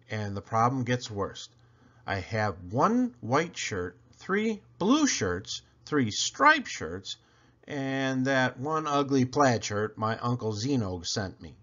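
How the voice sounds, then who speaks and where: A man reading a picture book aloud in an animated storytelling style, with theatrical emphasis on questions and lists. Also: medium pitch, dull audio. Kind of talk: animated children's read-aloud narration.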